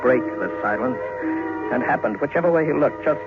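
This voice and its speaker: A man narrating a scripted horror story in old-time radio style, with suspenseful, deliberate phrasing. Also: mid-range voice, lively intonation, phone-quality sound. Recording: thin, band-limited vintage radio recording with music.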